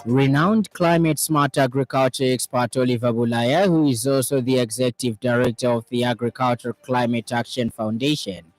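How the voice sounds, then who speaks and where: A man with a Zambian accent reading a scripted radio news bulletin in a formal, measured way. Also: medium pitch, steady tone, soft background music, poor audio. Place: radio studio.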